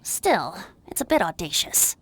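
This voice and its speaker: A woman's voice: fairly low pitch, big pitch swings, unhurried, husky, full character voice, poor audio. A woman voicing a playful young traveling bard in a video game, speaking in a flowery, theatrical and grandiloquent manner. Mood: wry, admiring. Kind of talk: animated video game character acting.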